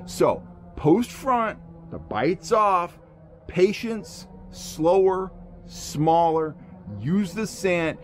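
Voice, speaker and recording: A man's voice: high voice, expressive, drawn-out words, faint music behind. A middle-aged American man giving instructional outdoor vlog talk as an angler, explaining points emphatically in folksy phrasing. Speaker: fishing show host and angler. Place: outdoors among trees.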